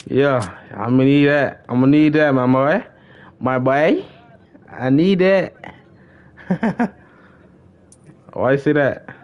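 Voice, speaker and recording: A man's voice: mid-range voice, lively intonation, slow pace, pauses often, highs cut off. A man livestreaming a game, chatting casually in slang and often repeating his phrases. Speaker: livestreamer and gamer. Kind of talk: casual gaming livestream banter.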